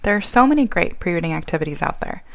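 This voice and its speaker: A woman reading scripted instructional narration in a clear, explanatory way. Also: fairly low pitch, animated, fast. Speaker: narrator of a training video.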